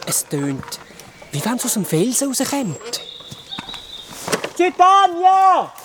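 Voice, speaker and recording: Child adventurer, played by an actor, Swiss German radio drama: high, very animated, easily alarmed, breathless. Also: takes it slowly, very noisy, heavily acted. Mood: urgent, searching. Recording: music behind the voice.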